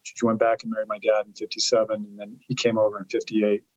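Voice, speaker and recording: A man's voice: on the low side, completely flat delivery, quick pace, darkish tone, no room sound, muffled recording. A man in his fifties, a guest in an interview, telling family stories in a rambling way, often repeating words as he searches for his thought; Italian was his first language. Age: 50s. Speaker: guest, lawyer and author. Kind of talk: storytelling interview.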